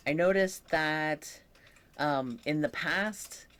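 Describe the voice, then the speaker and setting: Young man, unhurried and reflective, voice that sometimes croaks, casual vlog talk. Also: a little high, a bit bright. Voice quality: occasionally croaky voice. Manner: slow, thoughtful, with many pauses and fillers. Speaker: vlogger. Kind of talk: casual personal update.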